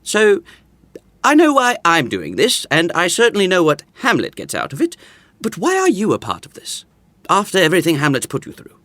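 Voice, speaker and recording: Very animated, teasing young romantic. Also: medium pitch, moderate pace, no echo. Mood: soft, concerned. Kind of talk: modern romantic comedy audio drama acting.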